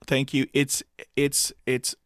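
A man's voice: mid-range voice, completely flat delivery, slow pace, a bit bright, clean tone, dry recording, clean studio sound. Upbeat man in his fifties who stutters. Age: 50s. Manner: stutters with repeated sounds and blocks; upbeat, motivational, repeats phrases for emphasis. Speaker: guest. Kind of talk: interview conversation.

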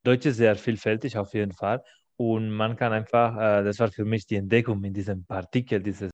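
A man's voice: on the low side, flat delivery, dry recording. A man whose first language is Spanish, hosting a podcast in German, speaking thoughtfully and hunting for his words. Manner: thoughtful, halting, searching for words. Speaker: podcast host. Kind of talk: casual interview chat. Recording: dull, dry, muffled.

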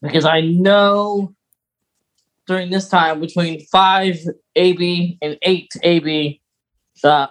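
A man co-hosting a casual fan discussion podcast, explaining details in a halting way. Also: fairly high pitch, rough recording. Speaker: podcast co-host.

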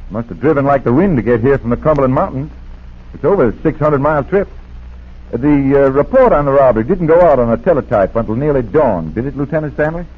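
An actor playing a brisk, suspicious male police detective who muses over clues in a 1940s radio drama. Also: medium pitch, expressive. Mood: probing. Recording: tinny, rough 1940s radio recording.

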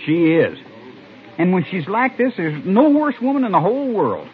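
High-voiced, complaining young cowboy. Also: male voice, very animated, normal speed. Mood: annoyed. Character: restless, put-upon young cowboy of twenty-one. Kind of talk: old-time radio Western acting. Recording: lo-fi vintage radio recording.

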